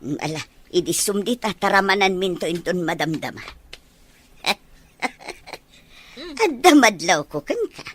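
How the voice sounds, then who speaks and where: A woman acting a doting, excitable middle-aged mother in a radio drama, fussy, teasing and full of exclamations. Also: lowish voice, a little fast, slight background noise. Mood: teasing, curious.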